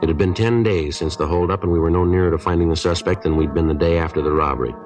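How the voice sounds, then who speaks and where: A man acts out a stoic American detective in a fifties radio crime drama. His voice sits very low and moves briskly through clipped, factual statements with a deadpan air. It is an old broadcast recording with faint music. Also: close to an everyday voice. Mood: matter-of-fact. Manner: deadpan, terse. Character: stoic, matter-of-fact male police detective. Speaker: actor narrating and playing the lead. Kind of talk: 1950s radio drama, clipped procedural narration.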